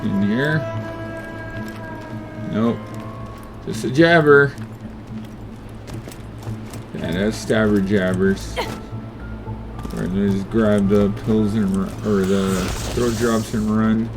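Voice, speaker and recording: Slow, low-voiced male gamer. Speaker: gamer recording a playthrough. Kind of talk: casual game commentary. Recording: voice over loud game music. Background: video game music and effects.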